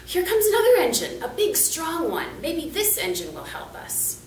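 Storybook toy clown, played by a woman: eager, high, swooping calls for help. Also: moderate pace, roomy sound, distant mic, slight background noise, full character voice. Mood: excited, hopeful. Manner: excited, calling out.

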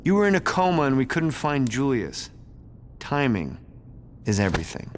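Man acting lively, smug corporate executive. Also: medium pitch, moderate pace, slight background noise, natural voice. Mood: smug, nervy. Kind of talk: video game cutscene acting.

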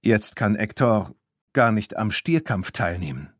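A man voicing a hesitant, remorseful old cattle herder of few words in an audiobook, speaking haltingly and reluctantly. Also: fairly low pitch, animated, no room sound, clean studio sound. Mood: apologetic. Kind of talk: audiobook character voice.

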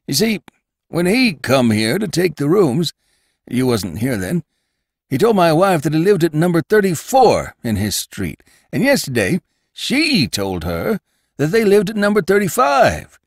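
A man's voice: on the high side, wildly swooping pitch, a little slow, dry recording, studio quality, voice pushed up, full character voice. A man voicing a suspicious, gossiping old boarding-house keeper who talks in a folksy rustic dialect. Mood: prying.

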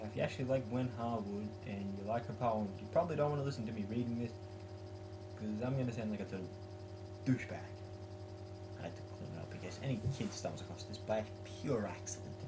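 A man's voice: medium pitch, low-quality recording. A young man in his late teens or early twenties, speaking casually as a student vlogger at home. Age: late teens to young adult. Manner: self-mocking, jokey. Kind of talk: casual talk and poem reading. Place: small bedroom, indoors.

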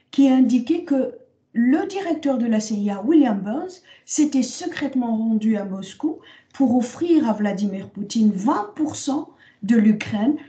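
A woman's voice: on the high side, dark tone, echoey room, lo-fi sound. A woman interviewer who politely asks long, carefully framed questions. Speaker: interviewer, host.

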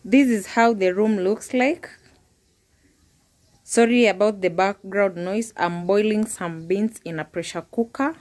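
A young woman talks viewers through tidying her room in an easygoing, chatty way, her voice sitting at a middle pitch. She restarts phrases now and then as she goes. It sounds like a phone recording made in a bedroom at home. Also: a little slow, a little mellow. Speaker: vlogger. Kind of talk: casual home vlog narration.

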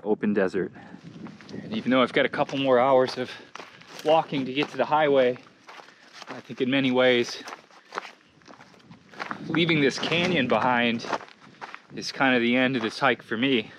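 A man in his forties, a thru-hiker, musing reflectively into a handheld camera while walking in a desert canyon, pausing mid-sentence. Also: medium pitch, a bit bright, a little hiss or hum. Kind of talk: reflective trail vlog. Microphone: action camera held at arm's length.

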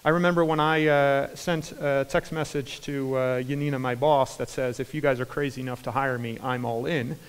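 A man in his forties with an American accent gives an energetic conference talk on stage, with frequent fillers and restarts. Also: medium pitch, normal speed, hardly any pauses, clean tone. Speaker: conference speaker. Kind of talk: keynote talk. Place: large conference hall stage. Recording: hall reverb from a large room.

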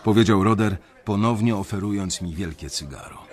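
Low-voiced, brooding young banker played by a man. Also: a little mellow, lightly acted. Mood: brooding, measured. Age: young adult. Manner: measured, introspective storytelling. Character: young male banker, brooding, conscience-stricken narrator. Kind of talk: first-person radio drama narration.

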